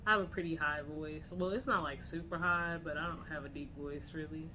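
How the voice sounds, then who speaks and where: A woman's voice: sits low, fairly even intonation, brisk, noisy background. A young woman co-hosting a casual podcast with her wife, playful and slangy, laughing often. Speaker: podcast co-host. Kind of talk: casual chat.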